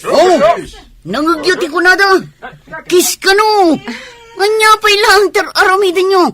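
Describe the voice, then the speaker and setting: An actress playing an outspoken, teasing woman in a radio comedy drama, with an expressive, emphatic delivery. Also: very high voice, a little slow, exaggerated voice. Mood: loud, gleeful.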